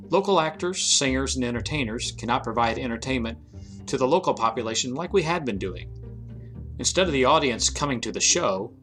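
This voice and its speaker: Animated male radio-show announcer. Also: mid-range voice. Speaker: announcer and narrator. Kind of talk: scripted radio show introduction and narration. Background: music.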